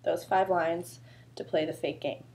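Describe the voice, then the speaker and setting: A woman's voice: lowish voice, steady tone, unhurried, darkish tone. A woman choreographer with a sore throat, explaining a dance routine in a chatty, loose way full of fillers. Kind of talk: casual dance instruction video.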